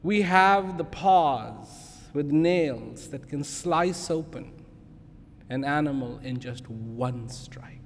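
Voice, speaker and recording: Man voicing a slow, proud father lion in a hall. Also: fairly high pitch, expressive. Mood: proud, boastful. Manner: slow, grand, boastful. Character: lazy, proud old father lion, king of the jungle. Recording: echoing large hall with noise.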